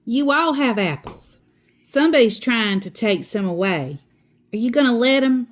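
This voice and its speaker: A woman voicing a cheerful, bossy, literal-minded substitute teacher from a children's storybook, with bright, emphatic, drawn-out delivery. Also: fairly high pitch, expressive, pitched above the performer's own voice, close to an everyday voice. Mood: excited, instructing. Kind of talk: children's storybook read-aloud.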